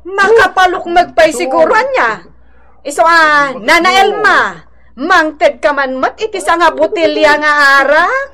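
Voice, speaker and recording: A woman's voice: very high-pitched, animated, unhurried, a crowd in the background. A woman playing a bitter, sarcastic wife and mother of a poor family in an emphatic radio drama. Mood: bitterly mocking.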